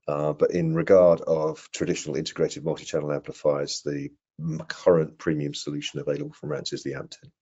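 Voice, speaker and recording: A middle-aged man answering technical questions in an online webinar, explaining things in a measured, careful way. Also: very deep voice, little pitch movement, warm tone, breathy edge. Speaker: product presenter answering questions. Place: indoor room on a video call. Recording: muffled video-call audio.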